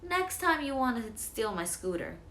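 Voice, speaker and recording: A woman's voice: sits high, very animated, normal speed, bright, forceful tone, reverberant, a little hiss or hum, pitched above the performer's own voice, heavily acted. A woman voicing a joking, teasing older brother in a children's story.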